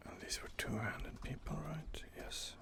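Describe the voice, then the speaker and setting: A man softly whispering ASMR commentary on a fantasy game he is playing, rambling and hesitant, close to the microphone. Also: a little slow. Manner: soft-spoken, rambling, hesitant. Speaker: gaming channel host.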